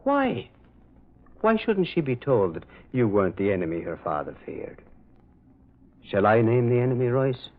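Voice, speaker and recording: A man plays a gentle priest detective in an old radio mystery. His delivery swings widely in pitch, hesitant yet kindly as he moralizes. The sound is thin and narrow, like an old phone line. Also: big pitch swings, moderate pace, pauses often, close to an everyday voice. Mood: probing, solemn. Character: gentle, earnest priest detective, mild and thoughtful. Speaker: actor playing the lead.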